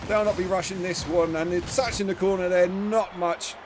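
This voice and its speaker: A man giving chatty live play-by-play commentary on a match, his voice starting to go hoarse. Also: high voice, normal speed, slight background noise. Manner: chatty, self-deprecating asides, bursts of excitement on big plays. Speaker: esports commentator.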